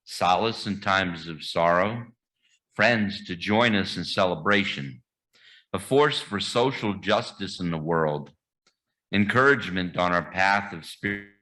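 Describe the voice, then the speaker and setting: A man leading a church membership welcome, reading the liturgy in a measured, formal way. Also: sits low, bright, forceful tone, low-quality recording. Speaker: membership committee leader. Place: church sanctuary.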